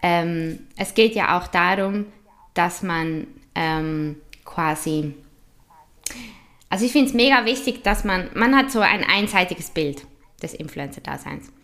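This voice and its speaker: A woman's voice: fairly low pitch, measured pace, fairly bright tone. Chatty Swiss woman, laughing guest. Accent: Swiss German. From Switzerland. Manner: chatty, laughs often, many fillers. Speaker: guest, influencer. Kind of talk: casual interview chat.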